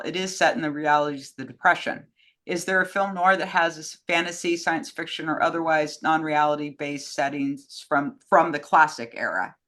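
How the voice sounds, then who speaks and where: A woman with a bright, forward voice in casual film talk. She co-hosts in a frank, conversational manner, stating her views plainly. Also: lowish voice. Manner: frank, opinionated. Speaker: co-host reading fan questions.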